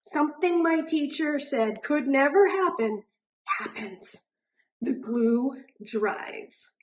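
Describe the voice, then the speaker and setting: A woman reading a children's picture book aloud in an animated way, drawing out words for emphasis. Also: high-pitched, a little hiss or hum, low-quality recording. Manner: animated, deliberate, drawn-out emphasis on rhyming words. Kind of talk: expressive children's storybook narration.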